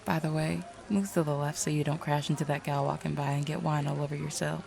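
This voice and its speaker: Woman acting a low, very croaky lady mayor. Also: steady tone, quick pace, crowd noise. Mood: knowing, teasing. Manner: direct, knowing, folksy. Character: confident, shrewd small-town woman mayor and seer. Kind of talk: audio roleplay acting.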